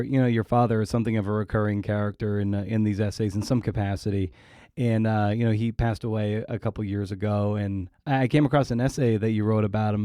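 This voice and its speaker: A middle-aged man hosting a casual interview, feeling his way through his phrases in a halting manner. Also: lowish voice, warm tone, no echo, clean studio sound. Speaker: podcast host, interviewer.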